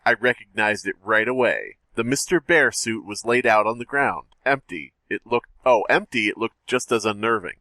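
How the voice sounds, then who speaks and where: A man's voice: lowish voice, normal speed, few pauses, bright, forceful tone, dry recording. A man co-hosting a podcast who reads parts of a story and adds wry, laughing commentary. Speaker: podcast co-host. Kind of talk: casual chat and story reading.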